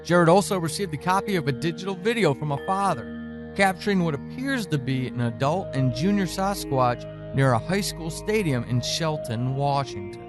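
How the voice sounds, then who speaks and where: Male podcast announcer, slightly high pitch, scripted introduction delivery with clear musical backing. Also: lively intonation, normal speed, music in the background.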